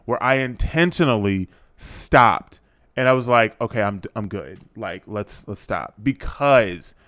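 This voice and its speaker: Lively-voiced man co-hosting a podcast. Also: on the low side, measured pace, no echo. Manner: explains at length, restarts sentences. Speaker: podcast co-host. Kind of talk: casual podcast chat.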